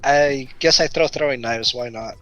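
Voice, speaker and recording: A man's voice: mid-range voice, moderate pace, sharp tone, highs cut off, faint music behind. A man chatting casually as a player in a tabletop game. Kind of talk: casual chat during a game.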